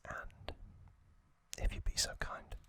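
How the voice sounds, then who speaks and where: Guy doing asmr, all whispered, super slow w lots of pauses, really bright sharp whisper, gentle. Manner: slow, hushed phrases with frequent pauses. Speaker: ASMR host.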